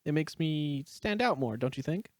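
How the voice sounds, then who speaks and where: Man voicing a lively, cheerful teenage boy. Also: on the high side, normal speed, dry recording, clean studio sound, voice pushed up, lightly acted. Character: cheerful, easygoing teenage high school boy.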